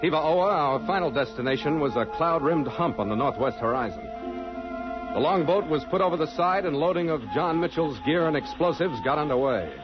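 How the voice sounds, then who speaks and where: An actor playing a grave, troubled ship's captain who also narrates, in old-time radio drama style, clipped and earnest. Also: male voice, fairly high pitch, expressive, fairly quick, close to an everyday voice. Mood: measured narration. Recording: muffled old radio recording with music.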